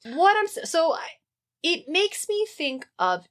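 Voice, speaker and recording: A woman podcast host telling stories in a casual, comic chat, animated and emphatic, with plenty of swearing. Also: extremely high pitch, measured pace.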